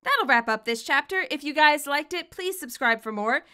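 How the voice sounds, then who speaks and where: A woman reading her own fan fiction aloud as expressive, scripted story narration. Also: sits high, moderate pace.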